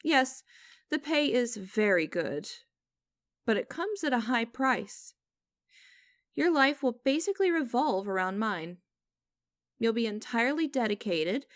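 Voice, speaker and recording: A woman playing a stern, mocking young company boss in a scripted audio roleplay, speaking in a formal, deliberate and condescending way. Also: on the high side, no echo, clean studio sound. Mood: businesslike.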